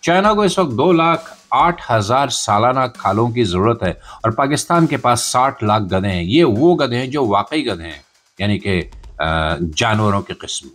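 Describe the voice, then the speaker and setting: A man's voice: medium pitch, animated, unhurried, fairly bright tone. A man with a Pakistani accent delivering satirical news commentary as a podcast host, in a sarcastic, theatrical, rhetorical manner.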